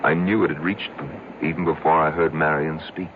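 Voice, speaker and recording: Super low grave man telling a dark story slowly, muffled noisy old radio drama. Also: fairly even intonation. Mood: grave, haunted. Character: man recounting a dark cave secret, grave and deliberate. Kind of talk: 1970s radio drama narration.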